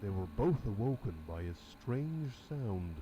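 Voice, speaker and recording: A male narrator telling a story in a soft, mellow tone, with lively rises and falls in his pitch. There is clear noise behind his voice. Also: measured pace, muffled recording. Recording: noticeable background noise.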